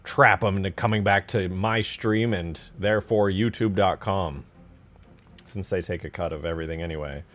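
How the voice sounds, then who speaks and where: A man's voice: low-pitched, steady tone, normal speed, a bit bright. A man hosting a livestream, chatting casually and conversationally to his viewers. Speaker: livestream host.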